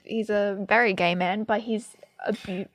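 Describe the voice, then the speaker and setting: A woman's voice: medium pitch, steady tone, normal speed. A young woman co-hosting a podcast, telling a story in a casual way with hesitant fillers. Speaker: podcast co-host.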